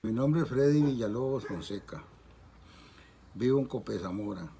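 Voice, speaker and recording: A man's voice: mid-range voice, expressive, normal speed, dark tone. A man, briefly introducing himself as an interviewee. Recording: noisy recording with barking dog.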